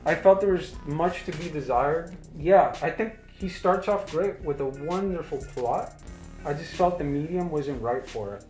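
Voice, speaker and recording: A man's voice: fairly high pitch, moderate pace, a little mellow. Blunt, slangy man in an echoey room. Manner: blunt, slangy, swears freely. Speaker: review show co-host. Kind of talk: casual review discussion. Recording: roomy echo with music underneath.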